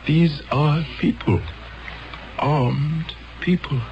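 An actor playing a grave, paternal elder of a peaceful future world in a 1970s radio drama, speaking solemnly and deliberately. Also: male voice, fairly high pitch, faint music behind. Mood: grave, foreboding. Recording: dull lo-fi 1970s radio broadcast.

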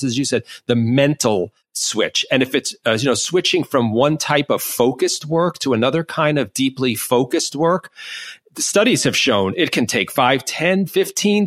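A man's voice: on the high side, a bit bright, no echo. Reflective man on a dry podcast mic. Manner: measured and reflective, building longer points. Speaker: podcast co-host. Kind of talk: conversational podcast discussion.

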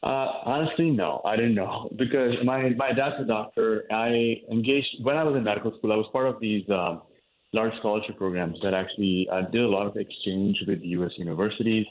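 A man's voice: on the low side, brisk, narrow, tinny audio. A man from India, a cardiologist, explaining his work in an interview, with many fillers and restarts. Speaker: cardiologist guest. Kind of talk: interview answers.

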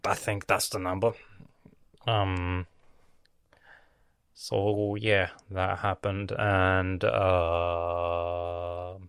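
A man's voice: low-pitched, a bit bright, clean tone, dry recording, studio quality. A man raised in Lebanon hosting his own podcast, talking in a loose, rambling monologue full of swearing and lost threads. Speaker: podcast host.

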